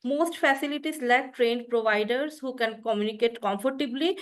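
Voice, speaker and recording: A woman's voice: fairly high pitch, normal speed. A woman physician, a Bengali speaker, laying out her points one by one on a panel. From Bangladesh. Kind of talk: panel discussion.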